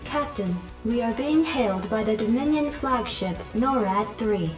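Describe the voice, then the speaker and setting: An actress playing a formal, detached starship adjutant making announcements in a video game. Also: female voice, a little high, expressive, unhurried. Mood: calm, alert. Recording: echoey, distant, music behind.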